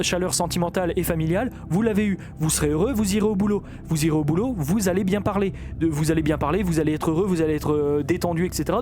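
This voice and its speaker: A man ranting in a casual vlog, rambling with many fillers and restarts. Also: sits high. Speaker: YouTuber. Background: music.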